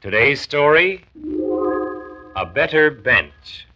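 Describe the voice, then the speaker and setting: An actor playing a dignified county health commissioner, narrating a 1940s radio drama in a measured, emphatic, formal manner. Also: male voice, fairly high pitch, big pitch swings, music in the background. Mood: solemn, earnest. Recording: dull 1940s radio transcription.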